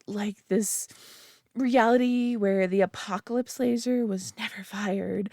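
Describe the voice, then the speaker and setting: A woman's voice: medium pitch, a little mellow, no echo, clean studio sound, natural voice. A young woman voicing a nerdy friend who rambles eagerly about her tabletop hobby, full of "like" and giggles, in a scripted roleplay. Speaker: voice actor in an audio roleplay. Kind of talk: scripted roleplay monologue to the listener.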